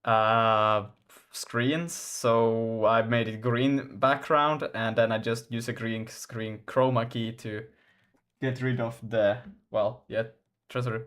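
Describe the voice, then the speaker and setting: A man's voice: mid-range voice, steady tone, takes it slowly, fairly bright tone. Finland-Swedish young man, rambling stream chat. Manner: rambling, restarts often, laughs a lot. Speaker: game developer streaming. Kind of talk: casual live-stream chat while coding.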